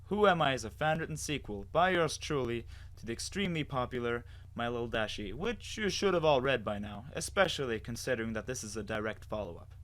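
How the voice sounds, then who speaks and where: A man's voice: mid-range voice, lively intonation, a little fast, few pauses, a bit bright, slight background noise, low-quality recording. A man reading a scripted story aloud as a dramatic narrator. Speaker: narrator and author of a fan fiction reading.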